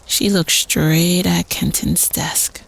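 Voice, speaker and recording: A woman playing a teenage prep-school student telling a spooky campfire story casually in an audio roleplay, conspiratorial and at times hushed. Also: on the low side, steady tone, slow, vocal fry, full character voice, rough recording. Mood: eerie, suspenseful.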